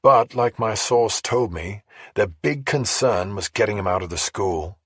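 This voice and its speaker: Man voicing a husky, measured woman journalist. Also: fairly low pitch, a bit bright, no room sound, studio quality. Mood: matter-of-fact. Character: Emily, measured, matter-of-fact female investigative journalist. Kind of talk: audiobook character dialogue.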